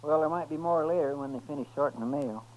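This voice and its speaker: An actor playing an eager, deferential, wistful American deputy with a folksy, respectful manner in a 1950s radio drama. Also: male voice, on the high side, fast, darkish tone, a little hiss or hum, lightly acted. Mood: curious. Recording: muffled 1950s radio recording, crowd behind.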